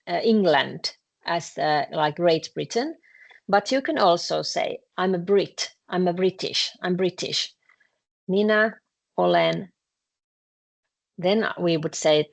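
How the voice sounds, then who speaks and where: A woman with a Finnish accent teaching a language class, explaining patiently with frequent pauses and fillers. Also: lowish voice, creaky. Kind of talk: classroom lesson explaining grammar.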